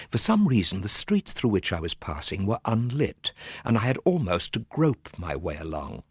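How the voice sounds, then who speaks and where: An actor playing a nervous, gloomy Victorian gentleman telling a ghost story in a theatrical radio monologue, full of hushed dread and sudden swells. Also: on the low side, breathy edge, no room sound. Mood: ominous storytelling.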